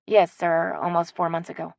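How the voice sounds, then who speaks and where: A woman's voice: lowish voice, little pitch movement, normal speed, gravelly, no echo, clean studio sound. A woman voicing a polite, subdued city woman.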